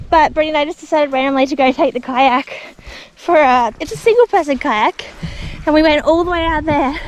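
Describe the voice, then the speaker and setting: A young Australian woman vlogging casually outdoors in an easygoing, self-deprecating, joking way. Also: high voice. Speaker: camping and fishing vlogger. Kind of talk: casual vlog chat. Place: outdoors at a beach campsite. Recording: outdoor camera audio with faint noise.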